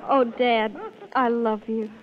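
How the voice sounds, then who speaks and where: An actress playing a devoted, emotional young daughter, sweet and earnest, pleading in 1930s radio melodrama style. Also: female voice, a little high, little pitch movement, slow pace, some chatter behind. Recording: muffled old radio broadcast.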